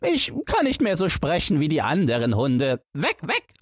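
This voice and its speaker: A man voicing a grumpy, nostalgic old chained dog in a storybook, with a hoarse, barking, boastful voice. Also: fairly high pitch, huge pitch swings, moderate pace, no echo, clean studio sound, voice pushed up. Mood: bitter, grumbling. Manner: boastful, reminiscing, barking refrain. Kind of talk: storybook character voice.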